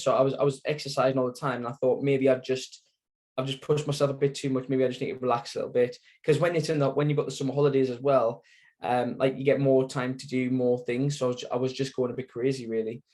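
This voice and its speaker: A man's voice: medium pitch, little pitch movement, quick pace, a little mellow. A young man with a Sunderland accent, chatting as an interview guest in a plain mid-range voice. He rambles through his story, circling back with fillers and restarts. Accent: Sunderland (Mackem). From Sunderland, England. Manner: rambling, lots of fillers and self-corrections.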